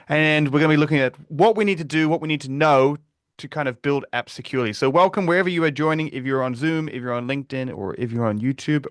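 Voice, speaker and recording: A man with a New Zealand accent presenting a live webinar in a relaxed, conversational way, with frequent fillers and restarts. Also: mid-range voice, smooth, no echo, studio quality. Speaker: webinar presenter, security advocate.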